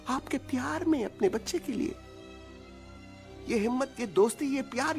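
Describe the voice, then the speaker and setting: A man voicing a showy, heartfelt parrot in an animated film, emphatic and dropping in English phrases. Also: very high voice, full character voice.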